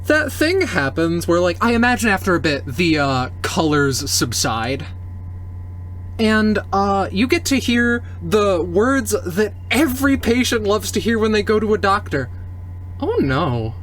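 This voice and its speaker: A man's voice: high-pitched, sing-song, unhurried, a bit bright, a little hiss or hum. A man casually improvising narration as a player in a tabletop roleplaying game.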